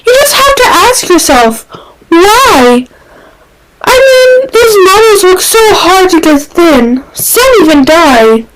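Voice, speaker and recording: A woman's voice: extremely high pitch, very animated, slow pace, heavy background noise, voice pushed up, heavily acted. A woman voicing a perky, flamboyant young man who chatters with gushing drama in a fan fiction reading. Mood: dramatic, dismayed. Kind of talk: fan fiction character acting.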